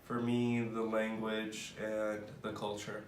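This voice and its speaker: Young man from San Francisco, monotone interviewee, distant roomy recording. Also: on the low side, slow pace. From San Francisco, United States. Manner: matter-of-fact, plainly stated answers. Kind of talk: casual interview answers. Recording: roomy, distant mic with faint noise.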